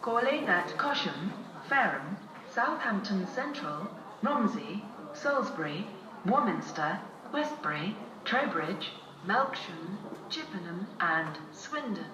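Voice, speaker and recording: A British woman gives formal railway announcements at a slow, measured pace. She reads in neatly separated phrases, carried over an echoing station loudspeaker with clear background noise. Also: mid-range voice, sing-song, recorded from a distance. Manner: formal, measured, clearly segmented list reading. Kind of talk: scripted public address train announcement. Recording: echoey station tannoy from afar, noisy.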